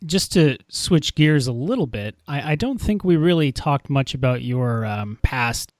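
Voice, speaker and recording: A man's voice: mid-range voice, animated, a little slow, darkish tone, no echo, clean studio sound. A man hosting a podcast, asking questions in a conversational manner. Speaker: podcast host, interviewer. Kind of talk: casual interview questions.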